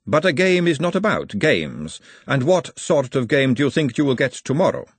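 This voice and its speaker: A man's voice: mid-range voice, sing-song, moderate pace, slightly rough, dry recording, voice pushed up. A man voicing an imperious, disdainful noblewoman in a storybook, formal and pointed in manner. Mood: disdainful, challenging. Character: haughty, imperious aristocratic lady, sharp and disdainful.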